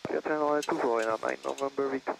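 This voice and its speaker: Quick, gravelly male pilot on radio. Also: mid-range voice, fairly even intonation, over a noisy crowd. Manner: clipped, procedural phrasing. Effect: aviation radio. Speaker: airline pilot. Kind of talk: radio readbacks. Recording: very noisy clicking radio transmission.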